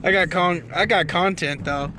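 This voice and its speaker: A man filming from inside a car, joking in an excitable, profane way and swinging between loud outbursts and low mutters. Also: moderate pace. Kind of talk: casual joking commentary. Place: inside a vehicle. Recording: noisy car cabin recording.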